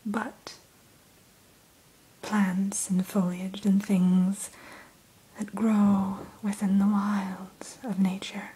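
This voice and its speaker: Soft-whispering woman, ASMR roleplay. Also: medium pitch, little pitch movement, rough recording. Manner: soft, slow unvoiced whisper; gentle, storytelling tone addressing the listener. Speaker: ASMR roleplay host as dragon hatchery keeper.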